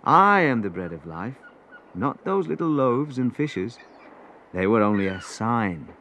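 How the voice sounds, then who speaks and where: A man playing a calm, assured religious teacher in a story reading. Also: medium pitch, unhurried, a little hiss or hum, heavily acted. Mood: calm, earnest.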